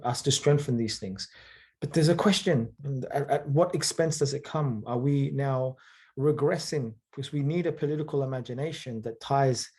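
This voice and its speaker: Man, from the UK, middle pitch, interview guest, reflective, filler-heavy. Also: normal speed, dark tone. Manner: thoughtful, hesitant, with frequent fillers and rhetorical "right?". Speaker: author and guest. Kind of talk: conversational interview.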